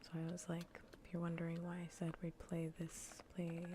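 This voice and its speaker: Soft, breathy, low-pitched woman streamer. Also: completely flat delivery, fairly quick, a little hiss or hum. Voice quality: soft, breathy, often near-whispered. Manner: casual, rambling, repeats phrases. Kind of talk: soft-spoken ASMR gaming stream chat.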